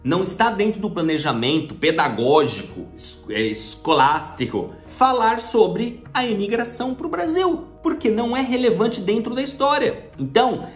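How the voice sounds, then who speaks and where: A man's voice: high-pitched, very animated, moderate pace, reverberant, soft background music. A Brazilian man hosting his channel, explaining things chattily and often asking rhetorical questions. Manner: chatty, asks rhetorical questions, acts out little dialogues. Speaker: channel host. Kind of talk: explaining to the camera.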